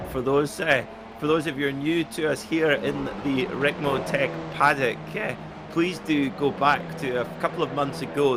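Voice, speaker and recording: Chatty man co-commentating. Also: mid-range voice. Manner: chatty, polite, laughing asides. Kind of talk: live race commentary. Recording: noisy, slightly distant line.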